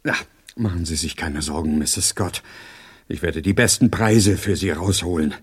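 An actor playing an obliging middle-aged uncle who deals in junk, reassuring and eager, in a German audio drama. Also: male voice, deep voice, moderate pace, slightly rough.

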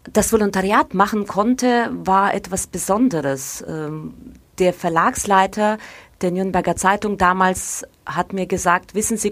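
Ukrainian-accented woman, low and dry. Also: no room sound. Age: middle-aged. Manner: thoughtful, measured, frequent hesitations. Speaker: guest, newspaper editor. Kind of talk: reflective interview talk.

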